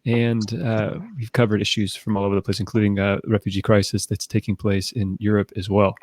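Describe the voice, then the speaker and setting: A man's voice: lowish voice, flat delivery, fairly quick, a little mellow, no echo. A man hosting a live podcast, speaking casually and introducing his guest. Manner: casual, with some fillers. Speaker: podcast host.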